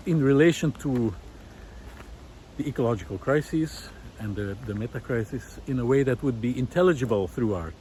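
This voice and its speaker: A man's voice: mid-range voice, a little mellow, slight background noise, rough recording. A man giving an unscripted, reflective monologue, thoughtful and hesitant as he searches for words. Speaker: visual artist turned ecology project host.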